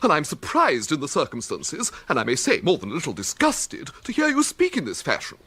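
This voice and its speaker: An actor playing a mournful, indignant young poet in a radio comedy, pompous and bleating, with an English accent. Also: male voice, fairly high pitch, huge pitch swings, a little fast, pushed and bright, slightly rough, no echo, full character voice. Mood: shocked, affronted. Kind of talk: radio comedy drama acting.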